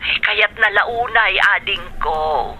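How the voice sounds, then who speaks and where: Creaky-voiced, caring Ilocano older sister. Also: female voice, medium pitch, lively intonation, slow, sharp tone, a little hiss or hum, exaggerated voice. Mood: warm, persuading. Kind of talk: Ilocano radio drama acting.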